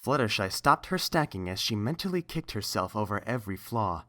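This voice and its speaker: A woman's voice: extremely low pitch, lively intonation, fairly quick, fairly bright tone. A woman reading a scripted story aloud as the narrator.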